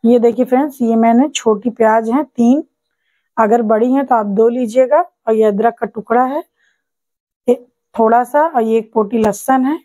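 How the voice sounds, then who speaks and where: Woman doing a home cooking tutorial, mellow and a bit high, dry close sound. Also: normal speed, dry recording, poor audio. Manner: patient, repeats "ye dekhiye friends" often. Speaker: cooking channel host. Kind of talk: step-by-step cooking instruction. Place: home kitchen.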